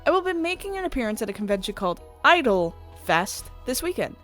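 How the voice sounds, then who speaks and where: A woman's voice: fairly high pitch, very animated, fast, fairly bright tone, pitched above the performer's own voice. A woman voicing a courteous, earnest female AI pop idol in a visual novel, with prim, formal phrasing. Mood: troubled, earnest. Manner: prim, courteous, stilted wording. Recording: music playing behind the voice.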